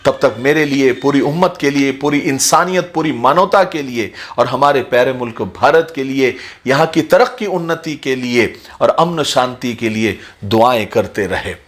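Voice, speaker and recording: A man giving an earnest, measured address to his viewers as a religious motivational speaker, in formal wording. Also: medium pitch. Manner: measured, appealing, formal vocabulary.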